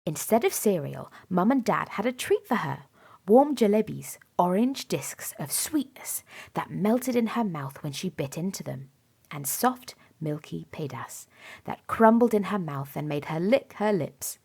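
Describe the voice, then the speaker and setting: Very animated woman reading a children's story. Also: on the low side, a little slow, creaky, slightly rough. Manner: animated, dramatic delivery with softened hushed moments. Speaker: storybook reader. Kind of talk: expressive children's story read-aloud. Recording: music behind the voice. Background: music and story sound effects.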